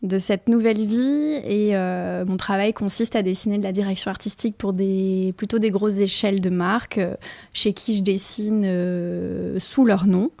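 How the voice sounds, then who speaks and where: Guest, woman from the Basque coast, chatty and lively, dry close sound, small room. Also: mid-range voice, normal speed, gravelly, no echo. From French Basque coast. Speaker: guest, independent designer. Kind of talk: casual conversation. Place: small apartment indoors.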